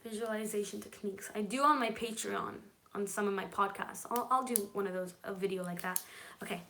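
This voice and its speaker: A woman's voice: mid-range voice, a little fast, bright, forceful tone, slight background noise. A woman from California whose first language is Hebrew, hosting a casual live stream and answering viewer comments in a chatty, reassuring way that often checks in with "okay?". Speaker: livestream host.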